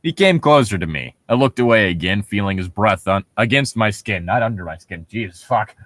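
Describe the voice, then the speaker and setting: Animated low-voiced man reading aloud. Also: brisk, dry recording. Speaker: co-host reading horror stories for laughs. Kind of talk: mocking comedic read-aloud of amateur horror fiction.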